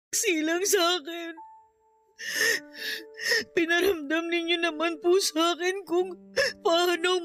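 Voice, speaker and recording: A woman's voice: very high voice, a bit bright, exaggerated voice. A woman playing a young, remorseful niece in a radio drama, crying and pleading respectfully. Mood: tearful, grateful. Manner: pleading, deferential. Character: tearful, remorseful young niece, grateful to her aunt. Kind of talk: Filipino radio drama acting.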